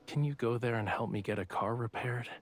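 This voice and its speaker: An actor playing a suave, secretive young male artist in a romance game, speaking in a soft, measured, gently teasing way. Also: mid-range voice, natural voice. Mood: earnest, reassuring. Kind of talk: voiced dialogue in a romance game.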